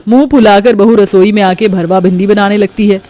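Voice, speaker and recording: A woman's voice: mid-range voice, steady tone, fairly quick, mellow, faint music behind. A woman storyteller reading scripted narration of a moral tale.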